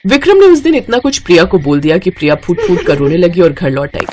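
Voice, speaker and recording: A woman's voice: on the low side, big pitch swings, quick pace, a little mellow, slight background noise. A woman reading scripted narration for a family moral story as the narrator.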